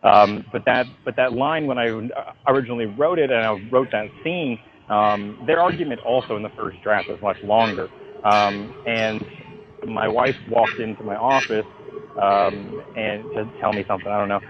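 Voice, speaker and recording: A man with a fairly low voice talks informally as a guest writer in an interview. He pauses and restarts as he tells his stories, leaning on key words. The sound is slightly dull, as from a phone call. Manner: halting and emphatic, informal, swears casually. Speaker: interviewee, comic book writer. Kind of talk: casual phone interview, storytelling.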